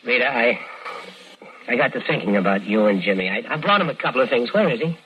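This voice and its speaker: An actor in a 1950s radio drama playing a calm, persuasive schemer who narrates his own crime. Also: mid-range voice, quick pace, highs cut off, lightly acted. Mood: coaxing. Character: smooth-talking scheming man, murderer narrating. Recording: old radio broadcast with music bed.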